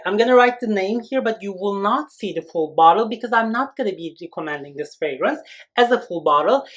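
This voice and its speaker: Chatty man reviewing fragrances. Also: sits high. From Turkey. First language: Turkish. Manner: chatty and expressive, many "you know" and "like" fillers. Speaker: fragrance reviewer, channel host. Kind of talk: casual review talk to camera.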